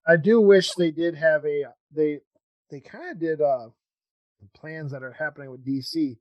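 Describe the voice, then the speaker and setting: A man hosting a podcast in casual conversation, talking in a halting, rambling way as he thinks aloud. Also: on the high side, no room sound, highs cut off. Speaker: podcast host interviewing a guest. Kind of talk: casual chat.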